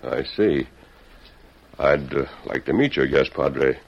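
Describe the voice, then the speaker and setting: A man's voice: rumbling low, expressive, slow pace, heavily acted. An actor playing a bold, courteous masked lawman in an old radio western, speaking with commanding earnestness. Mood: calm, earnest. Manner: commanding, earnest, clearly projected. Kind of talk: 1940s-50s radio western acting. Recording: muffled, noisy old radio broadcast.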